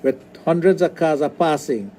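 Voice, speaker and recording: A middle-aged man with a Trinidadian accent, a sexologist talking on a radio show, blunt and quick to restart his sentences. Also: on the high side, dark tone. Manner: repeats words, restarts often, blunt. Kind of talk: casual radio talk. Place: radio studio.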